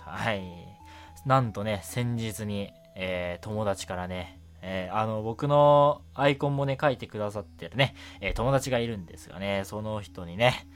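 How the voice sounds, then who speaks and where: A man hosting a casual, chatty night radio show in a relaxed, gently self-deprecating way. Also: lowish voice.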